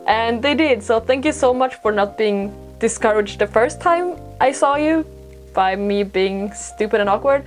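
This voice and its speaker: A woman's voice: fairly high pitch, unhurried, faint music behind. A young woman from Sweden, a native Swedish speaker, chatting casually and telling stories in an informal, self-deprecating way with rambling asides. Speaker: podcast host and vlogger. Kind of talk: casual chatty storytelling to camera.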